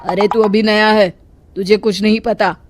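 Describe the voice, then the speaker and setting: A woman's voice: medium pitch, fairly even intonation, normal speed, noisy background, lightly acted. A woman voicing a greedy, dishonest male village repairman in a storybook tale, brusque and dismissive. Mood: bossy, scheming. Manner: dismissive, hard-nosed, wheedling. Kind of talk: children's storybook acting.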